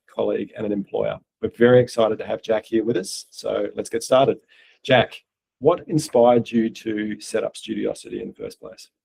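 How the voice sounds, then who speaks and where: Man, interview host, low, mellow, gravelly voice, polished delivery. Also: rough recording. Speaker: interview host, portfolio manager. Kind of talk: scripted intro, then interview questions.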